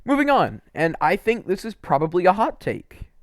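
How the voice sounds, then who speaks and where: Casual young man, slightly high-pitched, close dry sound. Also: big pitch swings, moderate pace, no echo. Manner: informal, enthusiastic, mildly sweary. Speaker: video game reviewer. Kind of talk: casual unscripted opinion commentary.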